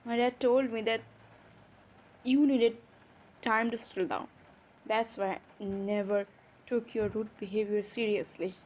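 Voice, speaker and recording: Woman acting as a defiant young stepsister, wounded, very slow and halting, fairly high voice, roleplay audio. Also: darkish tone, natural voice, rough recording. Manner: emotional, halting, with sighs and pauses. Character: hurt, defiant young stepsister, college student. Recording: faint background noise.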